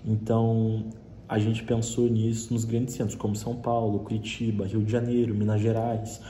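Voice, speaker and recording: Dark-voiced young man presenting a project. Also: on the low side, moderate pace. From Brazil. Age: young adult. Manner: relaxed, with frequent restarts and "então". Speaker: student presenting a class project. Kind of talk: informal spoken presentation. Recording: echoey room with faint hiss.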